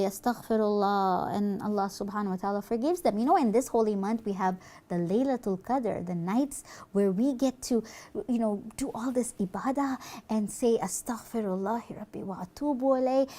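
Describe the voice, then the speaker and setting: A woman's voice: fairly high pitch, mellow. A woman presenting a children's puppet show, talking in a conversational, teaching style.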